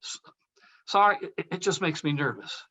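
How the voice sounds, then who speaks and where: A man acting an awkward, nervous, evasive character in a script table read, halting and stammering as he goes. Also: fairly high pitch, lively intonation, sharp tone. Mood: nervous, apologetic.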